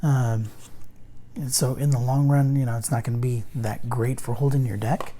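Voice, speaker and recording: A man who is losing his voice hosts a casual unboxing, talking through game cards in a halting, rambling way full of ums and restarts. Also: mid-range voice, fairly even intonation, mellow, a little hiss or hum. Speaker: host reviewing a card game deck. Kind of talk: casual unboxing and card review.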